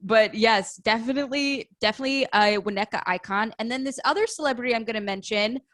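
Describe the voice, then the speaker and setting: A woman's voice: a little high, quick pace, fairly bright tone, dry recording. American woman chatting casually, very dry sound. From Illinois, United States. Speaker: podcast guest, actor. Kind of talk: casual anecdotal chat.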